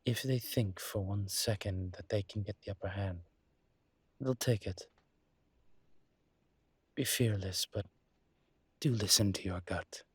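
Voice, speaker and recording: A man playing a suave, flirtatious demon professor in an intimate audio roleplay, teasing and indulgent, with a husky, breathy voice and languid, theatrically polite phrasing. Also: fairly low pitch, no echo. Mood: sly, instructive. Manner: languid, seductive, theatrically polite with drawn-out words.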